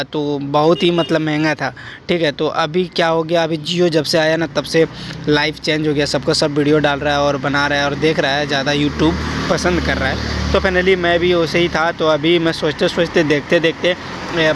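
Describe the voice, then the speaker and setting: Young male motovlogger, rambling commentary while riding, monotone, wind and road noise. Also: a little high, moderate pace. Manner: rambling, chatty, addresses viewers as guys. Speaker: moto vlogger. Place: outdoors on a motorcycle on rural roads. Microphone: helmet-mounted action camera.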